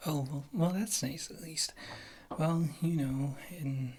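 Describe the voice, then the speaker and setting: A performer playing a wry, condescending witch healer in a whispered ASMR fantasy roleplay, with a soft, breathy voice and a halting delivery. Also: medium pitch, a little hiss or hum, natural voice. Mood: curious, dry. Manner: slow, halting, dryly disdainful.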